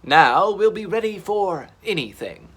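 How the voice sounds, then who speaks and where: A man's voice: high-pitched, animated, unhurried, a bit bright, husky, pitched above the performer's own voice, full character voice. A man voicing an eager, plucky male dinosaur captain in a children's story, upbeat and emphatic. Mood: resolute.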